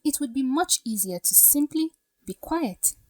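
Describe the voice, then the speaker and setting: A woman playing a troubled young bride-to-be in an audio drama, speaking softly in a hushed, wistful way. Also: high-pitched, lively intonation, a little slow, no room sound, studio quality. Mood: wistful, torn. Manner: soft, breathy, hushed delivery.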